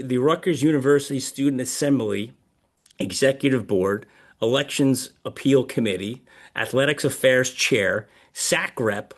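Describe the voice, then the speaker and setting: A man hosts a conversational interview podcast. His pitch sits in a middle range for a male voice. He talks informally and haltingly, repeating words as he works through his thoughts. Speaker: podcast host.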